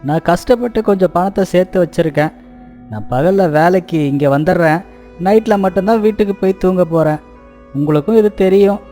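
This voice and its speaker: Man as poor village worker for cartoon fable: high voice, mellow, deferential, sincere. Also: fairly quick, faint music behind, natural voice. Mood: worried, respectful. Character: humble, earnest poor village labourer seeking work. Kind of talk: animated moral story dialogue.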